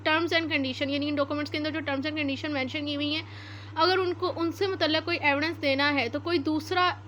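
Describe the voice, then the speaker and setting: A woman's voice: high-pitched, a bit bright, a little hiss or hum. A woman with a Pakistani accent giving an explanatory law lecture, methodical and mixing in English terms as she goes point by point. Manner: methodical, reads formal text slowly then explains it point by point; mixes English terms into Urdu.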